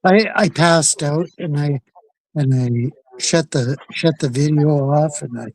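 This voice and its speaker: A man from Canada with a somewhat high voice takes his time as he chats on a livestream. He speaks slowly and haltingly, often repeating a word before moving on. The recording is close and dry. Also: darkish tone, no room sound, low-quality recording. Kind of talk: casual chat.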